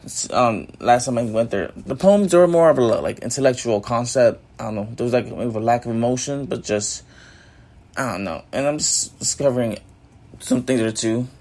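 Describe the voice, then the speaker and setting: A raspy-voiced young man hosting his own podcast, musing aloud in a loose, rambling way full of fillers and "you know what I mean". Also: medium pitch, moderate pace, darkish tone. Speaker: podcast host. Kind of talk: casual solo reflection.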